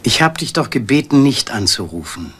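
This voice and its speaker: A man acting the part of a curt, guarded middle-aged professor in a German crime radio drama, with a husky voice. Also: medium pitch, very animated, normal speed, a bit bright, exaggerated voice.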